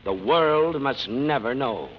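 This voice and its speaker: An actor playing a prim, timid, easily flustered agent for a rich backer in a 1940s radio comedy. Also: male voice, medium pitch, lively intonation, slow. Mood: confidential. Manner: prim, fluttery, anxious. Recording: muffled, hissy 1940s radio.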